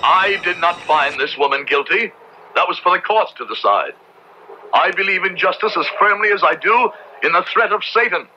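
A man's voice: high-pitched, animated, normal speed, pushed and bright, heavily acted. A man playing a stern, righteous Puritan minister in old radio drama style, pompous and sermonizing in formal archaic speech. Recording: muffled, noisy old radio drama recording.

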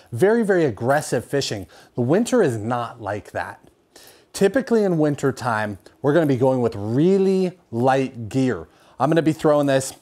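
A young man with a hoarse, slightly raspy voice from a cold teaches to camera in a garage. His pitch sits in the middle, and he talks with energy and gestures as he explains. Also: darkish tone. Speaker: fishing show host. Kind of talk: instructional talk to camera. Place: garage with fishing rods on the wall.

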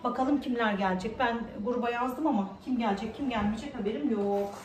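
A woman's voice: a little high, brisk. A middle-aged woman chatting casually and teasingly for a home vlog. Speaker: vlog host. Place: indoors at home, kitchen and living room. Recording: roomy echo, distant mic, faint noise.

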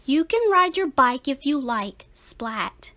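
A woman voicing a brisk, reassuring mother cat in a storybook, sing-song and encouraging. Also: sits high, very animated, pushed and bright, voice pushed up, exaggerated voice. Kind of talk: storybook read-aloud character.

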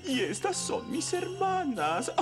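A woman's voice: high-pitched, very animated, slow pace, full character voice. A woman playing a bluffing, giggly princess in a children's cartoon, with an affected, fawning manner. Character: bluffing, giggly self-styled Bedouin princess. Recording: music behind the voice.

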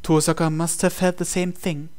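A man's voice: fairly high pitch, flat delivery, moderate pace, darkish tone, smooth. A man reading a visual novel aloud as a game player, in dramatic storytelling narration.

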